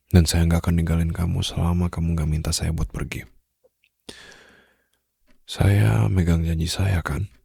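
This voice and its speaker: Casting: male actor as tender mafia boss lover, Indonesian accent, extremely low husky register, unhurried hushed delivery for intimate ASMR roleplay. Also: dry recording, exaggerated voice. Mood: gentle, affectionate. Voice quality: deep, gravelly, husky. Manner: slow, soft, hushed, pausing, gently reassuring. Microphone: close studio condenser microphone.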